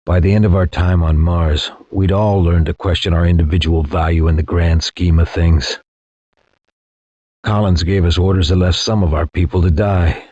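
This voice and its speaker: An actor playing a somber veteran soldier who narrates his war on Mars in a grave, measured, reflective way. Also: low voice, steady tone, a little mellow, no room sound. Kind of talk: scripted dramatic narration. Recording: muffled, lo-fi game audio.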